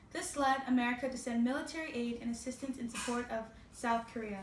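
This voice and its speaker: A young woman delivering a scripted news report as a student reporter and interviewer. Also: fairly high pitch. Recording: roomy echo with background noise.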